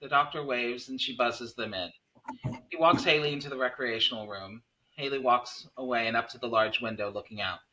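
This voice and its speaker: A male narrator reads screenplay scene headings and action. His voice is mid-pitched with a bright, forward edge, delivered as scripted narration. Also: brisk, slight background noise, poor audio. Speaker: narrator reading stage directions.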